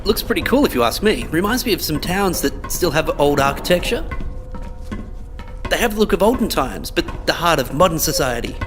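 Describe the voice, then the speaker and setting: A man acts a young male survivor in an audio drama, casual, protective and teasing. His pitch swings widely, with faint music behind him. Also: sing-song, brisk. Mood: relaxed, reflective. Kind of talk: conversational audio drama acting.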